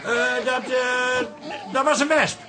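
An actor with a Dutch accent playing a glib, affable bar keeper in a comic radio play. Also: male voice, extremely high pitch, fairly even intonation, slow pace. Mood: evasive, affable. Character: glib, affable bar keeper, son-in-law covering for others.

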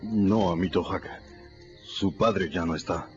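An actor playing a gentle, devoted older freed slave who speaks softly, humbly and respectfully, in a radio drama. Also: male voice, sits low, takes it slowly. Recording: muffled radio play with music.

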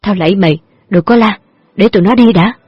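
A woman's voice: mid-range voice, fairly quick, slightly rough, dry recording, dull audio, natural voice. A woman voicing an old father who gambles, sounding wheedling and defensive. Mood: pleading.

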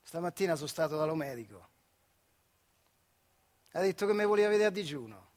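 An actor playing a bitter, weary old retired factory worker in a stage monologue, speaking colloquially with a Marche accent from central Italy, wry and rueful. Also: male voice, fairly high pitch, animated, brisk, a little mellow, lightly acted. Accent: central Italian, Marche. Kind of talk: dramatic theatrical monologue.

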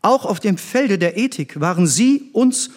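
An actor playing an earnest middle-aged scientist and former pupil in a stage drama, intense and theatrical. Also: male voice, high-pitched, lively intonation, unhurried, darkish tone. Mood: fervent, admiring.

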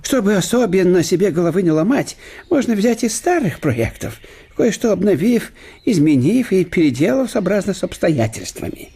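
An actor playing a fussy, obsequious older German professor at court in a radio play, hesitating with fillers. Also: male voice, sits high, sing-song, normal speed, vocal fry, mellow, breathy edge, full character voice. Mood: eager.

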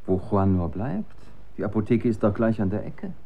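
A man's voice: fairly low pitch, sing-song, moderate pace, creaky, phone-quality sound, music in the background. An actor playing an idealistic, skeptical male research scientist in a German radio drama. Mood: impatient.

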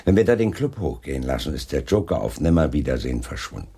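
Chief inspector, low mellow voice, lively intonation, dryly ironic, unflappable, crime radio drama. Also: male voice, fast talker, creaky, a little hiss or hum, heavily acted. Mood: businesslike. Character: wry, unflappable male Scotland Yard chief inspector. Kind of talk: German crime radio play acting.

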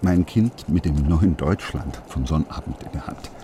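An actor playing a secretive, worried brain surgeon father who speaks in an urgent, hushed, pleading way. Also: a bass voice, lively intonation, normal speed, background noise.

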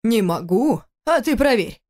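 A man's voice: high voice, brisk, close to an everyday voice. A woman voicing a stern, angry older father who scolds his grown son reproachfully in an audiobook. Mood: angry, demanding. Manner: forceful, reproachful, rhetorical questions.

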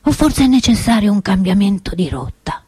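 A woman's voice: mid-range voice, big pitch swings, normal speed, warm tone, husky, no echo. A woman playing an impassioned, reform-minded preacher who delivers a solemn, accusatory sermon as a theatrical monologue. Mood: impassioned, reproachful.

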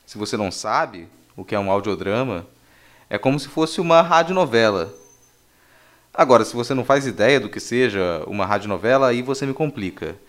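Brief: Brazilian male host, medium pitch, informal and jokey, slipping into deadpan asides. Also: a little fast. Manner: joking, mock-serious asides. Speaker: podcast host. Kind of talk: casual, playful podcast presentation.

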